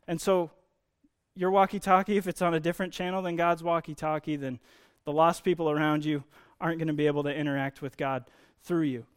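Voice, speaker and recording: A man's voice: on the high side, fairly even intonation, a little fast, clear, resonant tone. A man giving a conversational sermon, explaining Bible teaching in an informal way with emphasis on key words.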